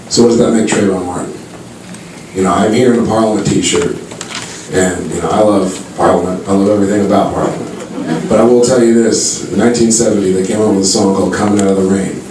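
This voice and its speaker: A man from California making conversational, heartfelt remarks as a speaker at a public meeting. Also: on the low side. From Menlo Park, California. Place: meeting hall, public town-hall event. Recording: echoey hall, distant mic, faint noise.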